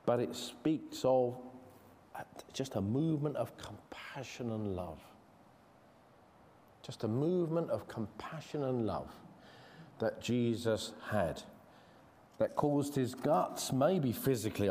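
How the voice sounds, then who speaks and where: An English man delivers a sermon in a church in a middle-pitched voice. He speaks earnestly and conversationally, restarting phrases and slowing down to stress key words. A long reverb from the hall trails each phrase. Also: warm tone. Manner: earnest, conversational, with frequent restarts and slow emphasis. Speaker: preacher.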